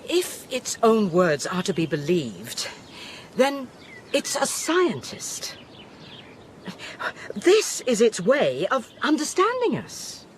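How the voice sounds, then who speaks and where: A woman's voice: on the high side, huge pitch swings, slow pace, slight background noise, full character voice. A woman acting in a radio drama as a wry, pragmatic investigator who stays steadfast under threat. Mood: wry, reflective.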